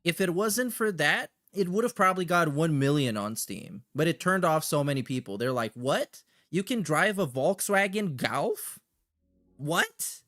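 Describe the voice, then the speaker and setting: A man's voice: fairly high pitch, expressive, measured pace, a bit bright, no echo, studio quality. A man hosting a livestream with casual, slangy, sweary commentary and a sarcastic edge. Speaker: livestream host. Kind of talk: casual chat with shout-outs and commentary.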